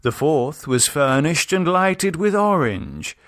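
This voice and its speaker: A man narrating a classic horror story as an audiobook, in a measured, deliberate and theatrical manner. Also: fairly high pitch, very animated, a bit bright, dry recording, studio quality.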